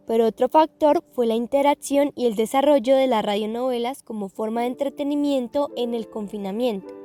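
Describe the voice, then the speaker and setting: A woman's voice: sits high, dark tone. A woman with a Colombian accent reading scripted narration for a radio documentary.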